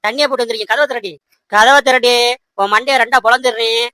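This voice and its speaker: A woman plays a young cartoon man with a highish, smooth and clear voice. He is bossy and coaxing, pressing with short, repeated questions and commands. The sound is close and dry. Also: steady tone, fast, bright, forceful tone, dry recording. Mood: drunken, threatening. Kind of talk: amateur cartoon dubbing.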